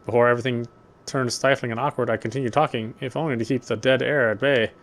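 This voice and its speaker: Male reader, medium pitch, measured literary narration for a visual novel, small stumbles. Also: steady tone, a bit bright. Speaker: let's player reading game text.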